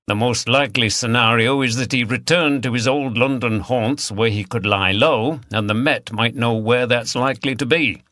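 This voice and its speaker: A senior police detective, acted by a male audiobook reader, brisk and in charge. He gives orders decisively in a bright, forceful tone. The sound is dry and close. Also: medium pitch, dry recording, natural voice. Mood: commanding. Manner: decisive, commanding. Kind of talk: audiobook character dialogue.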